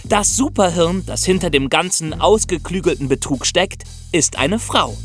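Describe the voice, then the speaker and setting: Male podcast storyteller: lively, swooping intonation, higher-pitched voice, explains things straight to the audience. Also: normal speed, faint music behind. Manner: explanatory, addresses listeners directly. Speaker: podcast host. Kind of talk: scripted storytelling for a history podcast.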